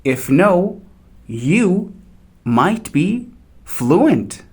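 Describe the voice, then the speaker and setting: A native Portuguese speaker teaching English to learners, speaking slowly and deliberately and addressing the viewer directly. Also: medium pitch, sing-song, a little mellow, breathy edge. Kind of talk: instructional talk to learners.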